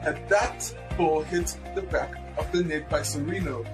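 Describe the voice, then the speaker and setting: A man's voice: a little high, unhurried, music in the background. A man giving an enthusiastic football pundit segment.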